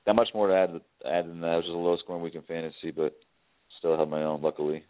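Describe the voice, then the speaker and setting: A man with a bass-heavy, very low voice trades brash, teasing trash talk. The sound is dry. It comes through a narrow phone-like band. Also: level tone, fast, no echo. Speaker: show regular. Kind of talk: casual sports banter. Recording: dry tinny phone-like line.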